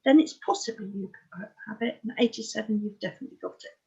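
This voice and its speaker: A woman in her sixties with an English accent, telling her life story as an interview guest in a frank, halting, matter-of-fact way. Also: mid-range voice, dark tone, husky, muffled recording. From Oxfordshire, England. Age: 60s. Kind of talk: candid personal life story in conversation.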